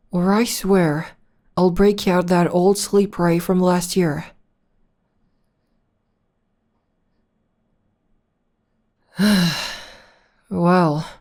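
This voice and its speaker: A woman playing a villainess in an ASMR roleplay, soothing and teasing as she tends to her nemesis with possessive tenderness and drawn-out endearments. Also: lowish voice, a little mellow. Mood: stern, exasperated. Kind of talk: ASMR roleplay, soft intimate acting.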